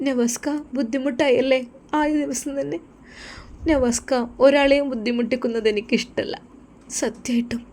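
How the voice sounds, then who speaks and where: A woman's voice: high-pitched, fairly even intonation, normal speed, a little mellow, pitched above the performer's own voice. A woman voicing a devoted young wife who speaks in a sweet, earnest and pleading way. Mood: shy.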